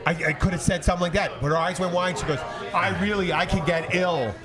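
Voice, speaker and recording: A man casually telling rambling, profane stories as a podcast host and bartender, acting out conversations in an animated way. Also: high-pitched, fast. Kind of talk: casual bar banter and storytelling. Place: in a busy bar. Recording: bar chatter in the background.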